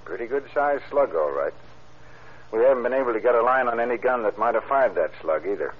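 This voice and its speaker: An actor playing a brisk, persistent police investigator questioning in clipped, businesslike fashion in an old radio crime drama. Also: male voice, medium pitch, animated, husky. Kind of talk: 1940s-50s radio crime drama acting. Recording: muffled, noisy vintage radio recording.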